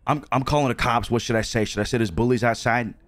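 A man chatting casually during roleplay, excitable, laughing and swearing. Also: mid-range voice, fast talker. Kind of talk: casual roleplay chat on a stream.

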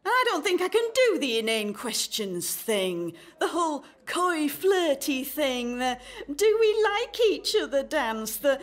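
A woman's voice: sits high, very animated, a little slow, hardly any pauses. An actress in a radio play playing a jaded, anxious single woman in her thirties, sarcastic, dramatic and emphatic. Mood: frank, overwrought. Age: 30s. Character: jaded, anxious 35-year-old single woman unravelling at speed dating.

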